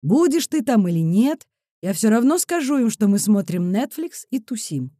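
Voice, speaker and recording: A woman voicing a teasing, relaxed sporty man of thirty-four in an audiobook, low, unhurried and matter-of-fact. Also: no room sound, studio quality. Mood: firm, fed up.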